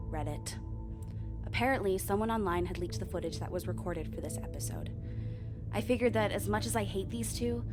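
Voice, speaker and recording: A woman's voice: on the low side, natural voice. An actress playing a blunt, sardonic female coast guard officer who narrates her own investigation in a radio drama. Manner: dry, curt, sardonic.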